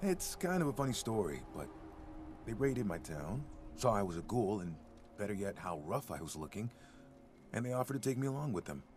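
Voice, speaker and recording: A man playing a gentle, timid, nature-loving ghoul gardener in a video game, speaking mildly, hesitantly and earnestly. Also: lowish voice, big pitch swings, a little fast, over music. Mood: reflective. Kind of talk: video game character dialogue.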